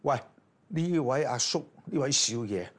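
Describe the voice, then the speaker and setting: A man swaps anecdotes in an interview. The voice is rough and husky, rising and falling freely. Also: medium pitch, animated, a little slow. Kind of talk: casual anecdotal chat.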